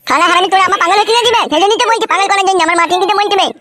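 A woman voicing a cheeky, foul-mouthed little village boy in a comedy cartoon, with a rural West Bengal accent and a pitched-up voice. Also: racing, noisy background. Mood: brash, cheeky. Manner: brash, mouthy.

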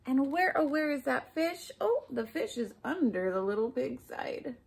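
High, animated woman reading to children. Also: unhurried, creaky, slightly rough, low-quality recording. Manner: expressive, addressing young listeners with questions and asides. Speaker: storybook reader for children. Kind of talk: children's picture book read-aloud. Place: small furnished room at home, indoors.

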